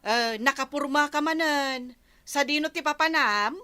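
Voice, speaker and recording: A bright, forceful and high-pitched village woman with a Filipino Ilocano accent. She is bossy and expressive, nagging her household in radio comedy-drama fashion. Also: unhurried, dry recording. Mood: teasing, nosy.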